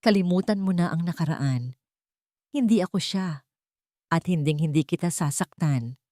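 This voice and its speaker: A Filipino woman voicing a calm, forgiving young woman in an audiobook, soft and reassuring. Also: lowish voice, normal speed, dry recording, clean studio sound, close to an everyday voice. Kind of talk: audiobook character dialogue.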